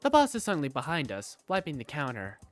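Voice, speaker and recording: A narrator reading first-person scripted visual novel story text in an expressive storytelling manner. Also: mid-range voice, quick pace, faint music behind. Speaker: narrator and lead character.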